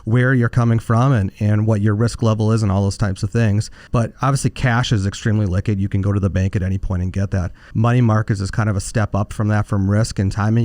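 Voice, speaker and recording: Male financial expert, lowish voice, dry close sound, informal and explanatory Q&A delivery. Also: warm tone, no echo. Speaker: financial advisor answering listener questions. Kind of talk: conversational expert commentary.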